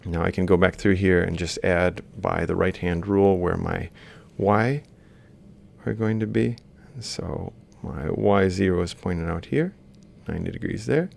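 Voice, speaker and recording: A man's voice: low-pitched, moderate pace, vocal fry. A man lecturing informally, thinking aloud as he explains step by step while drawing. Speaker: robotics lecturer.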